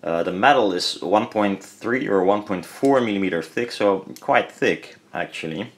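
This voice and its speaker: Low-pitched Dutch-accented man, rambling. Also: animated, normal speed. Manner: hesitant, rambling, self-correcting. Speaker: hobbyist presenter. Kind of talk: casual hands-on product teardown commentary.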